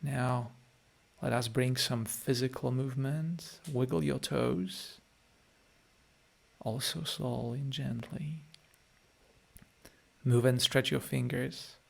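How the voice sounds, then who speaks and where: A man's voice: medium pitch, steady tone, takes it slowly, gravelly, long pauses. A man with a Polish accent, a meditation teacher leading a guided meditation and a live-stream chat, speaking to listeners in short, direct phrases.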